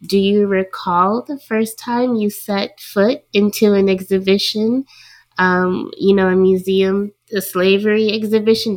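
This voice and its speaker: A woman's voice: medium pitch, no room sound, low-quality recording. A woman interviewer speaking conversationally in an academic discussion, putting thoughts and questions to a guest.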